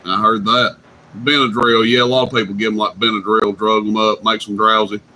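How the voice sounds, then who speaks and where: A man hosting a CB radio night, chatting casually and telling drawn-out stories. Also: on the low side, level tone, pushed and bright, poor audio. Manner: drawling, easygoing storyteller. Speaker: CB radio host.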